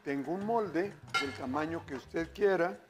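A man's voice: fairly high pitch, unhurried. Upbeat man hosting a TV cooking show. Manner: upbeat, chatty, keeps calling viewers "familia". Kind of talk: cooking demonstration, addressing viewers. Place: TV studio kitchen set.

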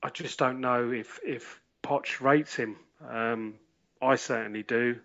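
A man giving measured opinions as a panellist in a casual sports discussion. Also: mid-range voice, steady tone, vocal fry, fairly bright tone, lo-fi sound. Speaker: podcast panellist.

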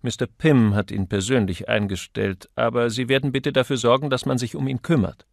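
A man voicing a brisk, formal office manager in an audiobook, polite and instructing. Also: medium pitch, fairly even intonation, vocal fry, no room sound, studio quality. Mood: businesslike.

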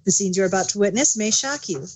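A woman's voice: medium pitch, animated, fast talker, breathy edge, dry recording. A woman reading scripted narration as a narrator.

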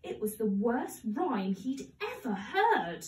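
Young woman, slightly high voice, measured expressive storybook narration, distant roomy recording. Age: young adult. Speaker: primary school teacher reading a story. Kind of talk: children's storybook read-aloud. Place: home conservatory, indoors. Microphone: camera a few metres away. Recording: roomy echo, distant microphone.

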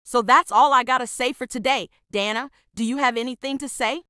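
Bright-voiced woman narrating casually. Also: fairly high pitch, fairly quick, smooth, no echo, clean studio sound. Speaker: video creator, animator. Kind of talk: casual vlog-style narration.

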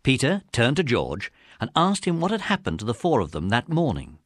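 A man's voice: medium pitch, wildly swooping pitch, fairly quick, no room sound, studio quality. A man narrating a children's adventure story from a script. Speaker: audiobook narrator.

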